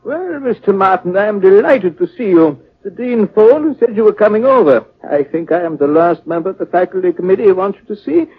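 A man's voice: sits high, animated, fairly quick, hardly any pauses, slightly rough. A man playing a genial, courteous college professor in an old time radio drama. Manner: courteous, formal, chatty. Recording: tinny, hissy old radio recording.

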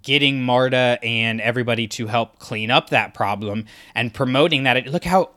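A man's voice: mid-range voice, a bit bright. A man co-hosting a podcast, talking through a fan analysis in an emphatic way and acting out imagined reactions. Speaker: podcast co-host.

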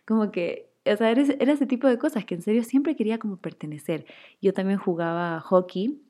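Mellow-voiced Chilean-born woman with Guayaquil accent. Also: medium pitch, a little fast. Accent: Guayaquil Spanish with Chilean traces. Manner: chatty, heavy use of "como que" and "o sea". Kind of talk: personal storytelling monologue.

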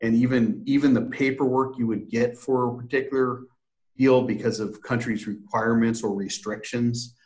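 A man's voice: mid-range voice, fairly even intonation, normal speed, a little mellow. A man, a sales director, explaining plans in an interview, hesitant with many fillers. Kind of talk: conversational business interview.

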